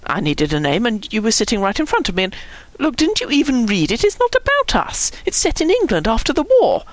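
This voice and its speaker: An indignant, boastful storybook boy acted by a woman, protesting emphatically. The pitch swings widely and the sound is dry. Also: big pitch swings, quick pace, no room sound, close to an everyday voice.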